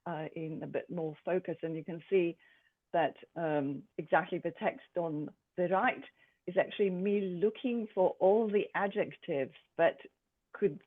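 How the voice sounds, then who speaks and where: A speaker born in Poland, an artist presenting her own work in a thoughtful, halting way with many pauses and fillers. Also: medium pitch. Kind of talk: art presentation to a group. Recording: tinny phone-like sound.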